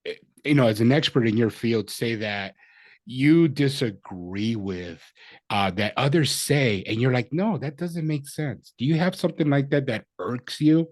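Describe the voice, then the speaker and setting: A man hosting a casual interview, speaking in a halting way and restarting his sentences. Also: medium pitch, a little mellow, dry recording. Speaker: interview host.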